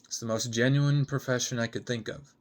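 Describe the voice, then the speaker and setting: A man's voice: mid-range voice, steady tone, brisk, natural voice. A man voicing a hungover, sarcastic, guarded young woman in a dramatic script reading, blunt and foul-mouthed. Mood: sardonic, guarded. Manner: sardonic, blunt, swearing.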